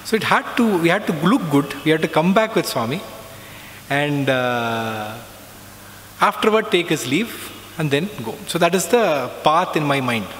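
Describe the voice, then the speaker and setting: A middle-aged man tells a reflective personal story, often pausing with "uh" and "so". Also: fairly high pitch, sing-song, a bit bright. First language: not Hindi. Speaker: alumnus giving a talk. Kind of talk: personal spiritual talk. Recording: long reverb, faint background noise.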